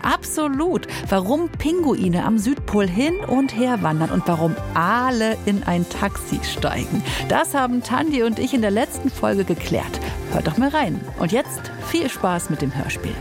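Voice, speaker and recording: A woman hosting a children's podcast, giving a scripted introduction in an animated, informal way. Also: on the high side, measured pace, few pauses. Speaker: podcast host. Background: music.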